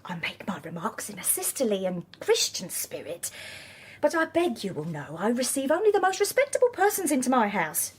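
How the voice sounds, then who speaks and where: A woman's voice: on the high side, wildly swooping pitch, fairly quick, slightly rough, heavily acted. An actress playing a prying, sanctimonious, self-pitying Victorian landlady in a radio drama, with prim, theatrical British speech and false sympathy. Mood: prim, disapproving.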